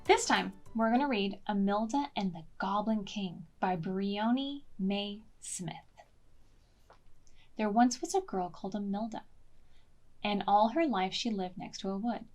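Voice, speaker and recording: A woman reading a picture book aloud to preschoolers in an expressive, deliberate way, as a storytime librarian. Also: mid-range voice, faint music behind. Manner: slow, deliberate, expressive, pausing to ask questions.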